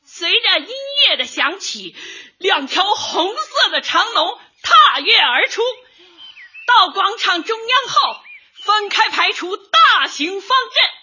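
An actress playing a cheerful, earnest older housewife and community organizer in a light comedy radio drama, chatty and expressive. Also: female voice, very high-pitched, slow pace, highs cut off, heavily acted. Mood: enthusiastic presenting.

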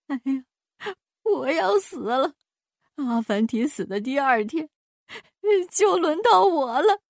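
A woman's voice: expressive, moderate pace, a little mellow, husky, no room sound, clean studio sound. A woman voicing a jittery, frightened old king who shrieks in panic. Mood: wailing. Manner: shrill, panicky outbursts.

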